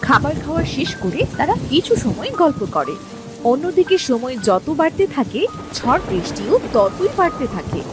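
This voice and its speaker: A woman's voice: high voice, sing-song, normal speed, music in the background. A woman giving scripted narration for a cartoon folk tale.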